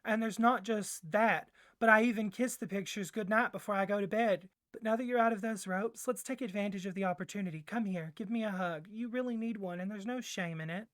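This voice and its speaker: A clingy young yandere boyfriend acted by a woman in a soft ASMR roleplay. He gushes and coaxes, rambling into flustered hesitations while staying devoted and possessive. The sound is very dry and close, with a mid-range pitch for a female voice. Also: fairly quick, few pauses, dry recording, natural voice. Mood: sheepish, affectionate, then tender, clingy.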